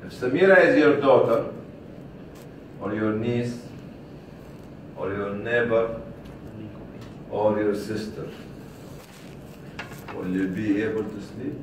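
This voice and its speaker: A man in his sixties telling a story to a group in a deliberate way, repeating and pausing for emphasis. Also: on the low side. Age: 60s. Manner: deliberate, with repetition and pauses for emphasis. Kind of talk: spoken storytelling to an audience. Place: medium-sized indoor seminar room. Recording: distant, very echoey room recording with faint noise.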